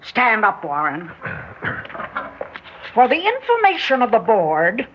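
An actress playing an imperious, sharp-tongued woman of eighty-four with a husky, commanding voice in a 1960s radio drama. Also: fairly high pitch, wildly swooping pitch, a little hiss or hum, full character voice. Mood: angry, commanding. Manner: commanding, cutting, deliberate. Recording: dull 1960s radio recording.

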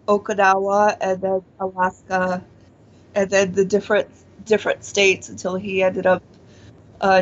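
Forties woman guest, slow and halting, lots of restarts, muffled lo-fi sound. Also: medium pitch. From born in Taiwan, raised in Pennsylvania. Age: 40s. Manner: hesitant, many fillers and restarts. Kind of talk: casual interview, personal testimony. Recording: dull lo-fi audio.

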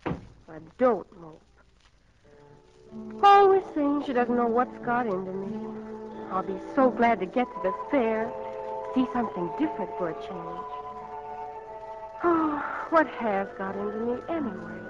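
An actress playing a restless, dreamy young American farm daughter in a 1940s radio play. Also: female voice, a little high, big pitch swings, a little slow, pauses often, over loud music. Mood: listless, wistful. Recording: muffled 1940s radio broadcast.

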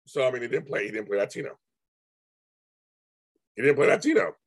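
A man hosting a casual live stream, his voice going hoarse, rambling with many restarts and repeated words. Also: medium pitch, sing-song, fairly quick. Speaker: livestream host.

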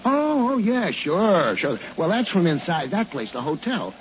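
A man's voice: high-pitched, sing-song, unhurried. An actor playing a breezy, skeptical male tourist in a 1950s radio drama, joking in glib slang. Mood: teasing, dismissive. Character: wisecracking, breezy city tourist husband, skeptical and teasing. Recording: muffled, noisy 1950s radio recording.

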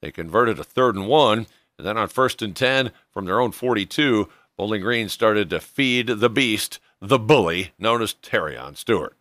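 A man's voice: on the low side, expressive, moderate pace, fairly bright tone, no room sound, studio quality. An American man hosting a sports podcast, narrating a scripted football recap in a broadcast style. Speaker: sports podcast host and radio play-by-play announcer.

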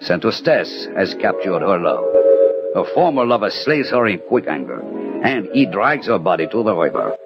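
An actor playing a shrewd, commanding French detective in a theatrical, deliberate 1960s radio drama style. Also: male voice, sits low, sing-song. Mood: assured, deductive. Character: shrewd, commanding French gentleman detective in 19th-century Paris. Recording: old muffled radio broadcast with loud music.

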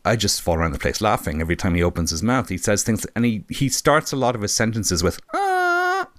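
A man with a slightly croaky voice chatting casually about films as a panelist, in a wry, rambling, enthusiastic way. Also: on the low side, fast, dry recording. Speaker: film discussion panelist. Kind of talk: casual film review chat.

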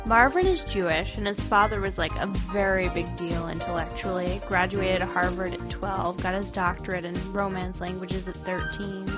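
A woman's voice: on the low side, moderate pace, hardly any pauses, loud music behind the voice, pitched below the performer's own voice, lightly acted. A woman reading the part of a breezy, self-amused young male actor in a wry, chatty novel dialogue. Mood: wry storytelling.

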